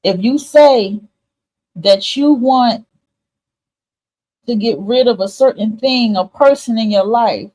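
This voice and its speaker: A woman's voice: on the high side, slow, pauses often, mellow, poor audio. Candid, emphatic woman streamer. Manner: candid, emphatic, repeats phrases, swears freely. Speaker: host of a spiritual advice livestream. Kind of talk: casual, informal motivational monologue to listeners.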